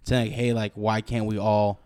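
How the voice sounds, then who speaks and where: A man's voice: fairly low pitch, flat delivery, moderate pace, a little mellow, clear, resonant tone, dry recording. A man hosting a podcast with a lighter voice, asking curious, joking questions with lots of fillers. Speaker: podcast host. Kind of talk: casual interview talk.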